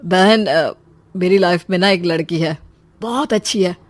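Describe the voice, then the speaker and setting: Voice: mid-range voice, unhurried, lightly acted. An actor playing an earnest, trusting young brother in a dramatised moral story, hesitant and confiding. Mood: shy confiding.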